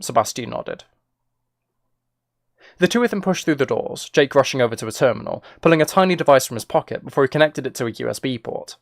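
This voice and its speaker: A man's voice: medium pitch, gravelly, no room sound, clean studio sound. A man giving a dramatic scripted audiobook-style narration as an author reading a story. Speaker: author and streamer reading own book.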